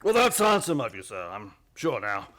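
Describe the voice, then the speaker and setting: An actor playing a plain-spoken, eager local police sergeant in a radio play, folksy, deferential and a little hesitant. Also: male voice, mid-range voice, sing-song, moderate pace, a bit bright. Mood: grateful, obliging.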